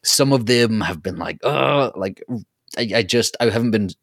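A man's voice: lowish voice, lively intonation, normal speed, no echo, studio quality. A man co-hosting a podcast, chatting casually and effusively as a fan. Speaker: podcast co-host.

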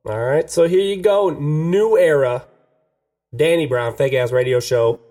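A man's voice: fairly high pitch, measured pace, warm tone. A man co-hosting a podcast, chatting casually, laughing often and swearing freely. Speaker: podcast co-host. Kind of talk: casual radio-style chat.

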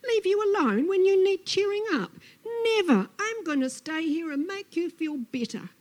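A woman's voice: extremely high pitch, expressive, moderate pace, pitched above the performer's own voice. A woman voicing a fussy storybook lizard who smothers a friend with cooing, insistent, melodramatic sympathy.

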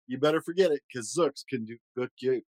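A man's voice: medium pitch, a little fast, a little mellow, no echo, lightly acted. A man voicing a taunting enemy soldier in a storybook, boastfully calling out threats in rhyme.

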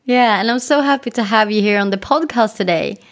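A woman hosting a podcast, reading a smooth, upbeat scripted intro and then chatting in a friendly way with her guest. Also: a little high, fairly quick. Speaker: podcast host. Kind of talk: scripted intro and casual interview.

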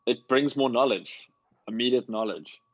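A man talks in an easygoing podcast style. His voice sits at a middle pitch, conversational and unscripted. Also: moderate pace, husky, dull audio. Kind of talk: casual podcast chat.

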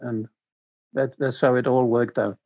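An older man from Sweden with a Swedish accent, speaking English as a non-native and recounting his life in a halting way, repeating words as he searches for them. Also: mid-range voice, level tone, no room sound, dull audio. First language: Swedish and Danish. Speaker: interview guest.

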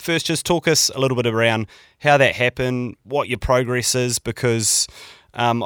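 A man's voice: fairly low pitch, a bit bright, smooth, no echo, clean studio sound. Jokey New man hosting a podcast. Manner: jokey, teasing, says "like" and "mate" often. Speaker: podcast host. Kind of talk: casual interview chat.

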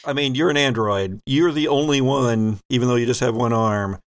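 A man's voice: mid-range voice, moderate pace, clean tone, no room sound. Man game master, dry close sound. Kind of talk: improvised narration of a tabletop role-playing game.

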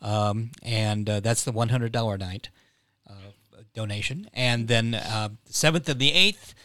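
Bright-voiced man in podcast conversation. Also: fairly low pitch, a little slow, no room sound, clean studio sound. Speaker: playwright guest.

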